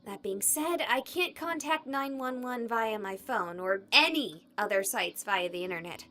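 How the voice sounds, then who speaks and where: A woman playing an excitable young streamer in a game, with theatrical, emphatic delivery as she investigates a haunted hospital. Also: on the high side, measured pace, faint music behind. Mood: dramatic, determined. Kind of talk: voiced game character dialogue.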